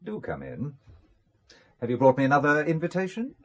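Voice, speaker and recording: A man's voice: a little high, huge pitch swings, moderate pace, background noise. An actor playing a guarded businessman in a radio spy drama, speaking in a dry, sardonic manner. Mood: dry, probing. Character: wry, guarded businessman drawn into espionage. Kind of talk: British radio drama acting.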